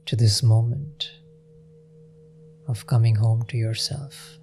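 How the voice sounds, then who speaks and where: A man leading a guided meditation in a gentle, unhurried manner with long pauses. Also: mid-range voice, fairly even intonation, gravelly, a little hiss or hum.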